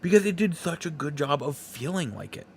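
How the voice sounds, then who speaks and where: A man hosting a podcast, giving casual solo opinions in halting, broken phrases with emphatic stresses. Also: on the high side, big pitch swings, slight background noise. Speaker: podcast host.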